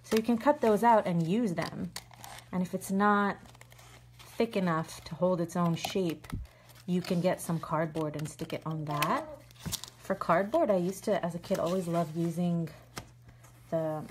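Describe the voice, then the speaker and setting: A woman's voice: lowish voice, moderate pace, slight background noise. A woman chatting casually while she shows a craft for kids, filmed on a phone from overhead at an indoor table. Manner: chatty, thinking aloud with fillers. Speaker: craft video host. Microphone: phone filming from overhead.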